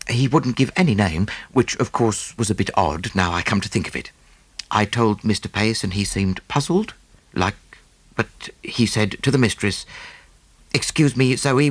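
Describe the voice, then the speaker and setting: A man's voice: lowish voice, no room sound, lightly acted. Casting: man as middle-aged female housekeeper, plain-spoken and deferential, telling her account, close dry recording. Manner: respectful, homely, matter-of-fact. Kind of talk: audiobook character acting.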